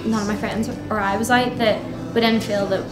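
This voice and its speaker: A woman's voice: medium pitch, steady tone, a little fast. A woman, answering interview questions hesitantly with frequent ums. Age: 20s. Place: indoor youth centre room. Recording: echoey room with music underneath.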